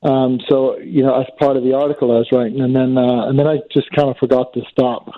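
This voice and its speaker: A comedian guest who grew up in Northern Ireland and England, rambling in a self-deprecating way with plenty of fillers. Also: male voice, mid-range voice, flat delivery, fast talker, no echo. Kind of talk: casual phone interview. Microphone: phone. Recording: tinny phone line.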